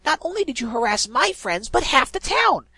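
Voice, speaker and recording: A man voicing a brash, hot-tempered young female pegasus who shouts her lines in a fan audiobook. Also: very high-pitched, sing-song, normal speed, breathy edge, no room sound, heavily acted. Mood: angry. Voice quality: loud, shouting.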